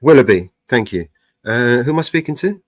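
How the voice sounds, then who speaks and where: A man's voice: mid-range voice, lively intonation, brisk, no echo, studio quality. A man with a British accent, hosting a live stream and calling a police department, hesitant and full of fillers as he explains. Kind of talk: live-streamed phone call.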